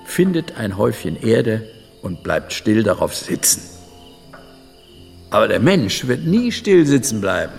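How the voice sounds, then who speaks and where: A man's voice: medium pitch, vocal fry, big-room sound. An actor playing an older, reflective adventurer and butterfly collector in a radio drama, musing and telling his tale dramatically. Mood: philosophical. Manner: musing, dramatic storytelling with pauses and interjections. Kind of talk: radio drama monologue, storytelling.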